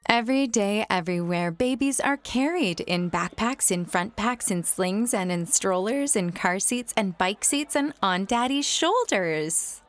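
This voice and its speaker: A young adult woman reading a rhyming children's picture book aloud in a gentle, sing-song storytime manner. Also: medium pitch, animated, unhurried, few pauses.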